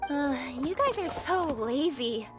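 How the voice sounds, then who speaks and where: An actress voicing an excitable, cheeky young cat girl in a video game, with a bubbly, exclamatory delivery. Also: sits high, takes it slowly, music in the background. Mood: teasing.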